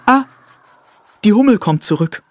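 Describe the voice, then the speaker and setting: A man voicing a gentle, caring male hare in a children's story, speaking high and bright. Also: huge pitch swings, moderate pace, a little hiss or hum, heavily acted. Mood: caring, cheerful.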